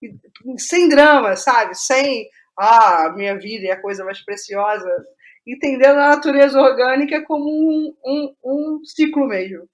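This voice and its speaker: Casting: Brazilian woman, fairly high voice, animated conversational storyteller, interview guest thinking out loud. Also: a little slow, rough recording. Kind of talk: casual conversational interview about writing process.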